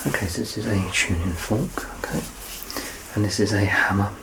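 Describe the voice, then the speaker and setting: A man in his thirties doing an ASMR medical exam roleplay as a doctor, soft-spoken, reassuring and explanatory. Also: on the low side, moderate pace, vocal fry, very noisy. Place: small curtained indoor room.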